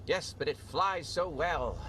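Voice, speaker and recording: A man's voice: a little high, takes it slowly, background noise. A man playing a breezy, slightly defensive small-plane pilot in an improvised radio comedy. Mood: breezy, reassuring.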